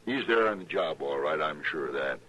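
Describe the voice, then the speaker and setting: An actor playing a pushy, smooth-talking freight boss in an old-time radio Western, with folksy, insinuating talk. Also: male voice, extremely low pitch, lively intonation, a little fast, pushed and bright, full character voice. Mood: falsely genial. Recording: noisy vintage radio drama recording.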